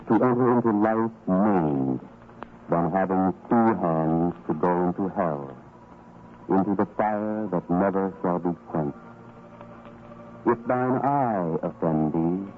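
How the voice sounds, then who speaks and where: An actor playing a solemn, authoritative biblical teacher in a radio drama, preaching in measured, grave, archaic oratory. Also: male voice, sits low. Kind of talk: old-time religious radio drama acting. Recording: tinny, band-limited old radio recording, noisy.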